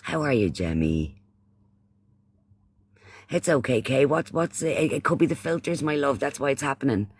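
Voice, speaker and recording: Irish male, livestream host, medium pitch, casual chat, repetitive phrasing, affectionate pet names, dry recording. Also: animated, moderate pace, long pauses, breathy edge, dry recording. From Ireland.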